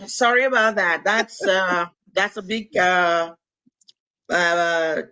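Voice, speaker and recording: This is a woman from Cameroon with a Cameroonian accent, speaking as a podcast guest. She talks at a slow, unhurried pace. Her manner is earnest and reflective, with small hesitations as she thinks aloud. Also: on the low side, sharp tone. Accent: Cameroonian English. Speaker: podcast guest, nurse and author. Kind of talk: casual conversational interview.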